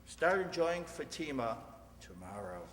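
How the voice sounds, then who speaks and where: Animated male old-time radio announcer. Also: medium pitch, a little slow. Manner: emphatic salesmanship. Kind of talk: 1950s radio cigarette advertisement, polished announcing. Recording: reverberant hall, faint noise.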